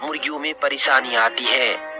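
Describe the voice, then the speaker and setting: A man's voice: mid-range voice, steady tone, moderate pace, music in the background. A man giving scripted, step-by-step instructional narration as a poultry farmer, explaining methodically and repeating his points.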